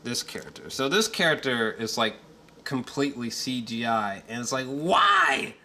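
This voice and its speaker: Man, mid-pitched voice, casual film reviewer, rambling, halting, repetitive, sweary, emphatic fan talk. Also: wildly swooping pitch, pushed and bright, a little hiss or hum. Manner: halting, searching for words, repeats himself; casually profane, slangy.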